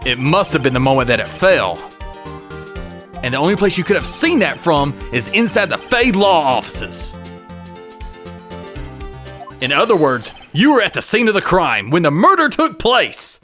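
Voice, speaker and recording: A man's voice: on the high side, big pitch swings, normal speed, long pauses, sharp tone. A man playing a determined young defense lawyer in a video game dub, pressing and confrontational in manner. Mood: accusing. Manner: assertive, pressing. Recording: music under the voice.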